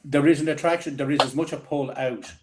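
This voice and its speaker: Irish guy rattling on quick on a panel show, keeps restarting his sentences. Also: fairly high pitch, background noise. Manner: fast, restarts sentences often. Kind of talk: casual panel discussion.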